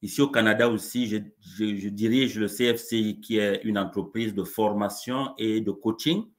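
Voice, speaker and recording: A man, a coach being interviewed live over a video call, speaking in a warm, measured way with frequent restarts. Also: on the low side, fairly even intonation. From Cameroon. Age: 40s. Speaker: coach and guest.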